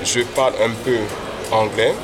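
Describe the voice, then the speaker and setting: A man's voice: mid-range voice, steady tone. Hesitant man on a noisy street. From Benin. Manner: hesitant, many filler pauses. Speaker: passerby interviewee. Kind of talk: street interview answers. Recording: loud street and traffic noise.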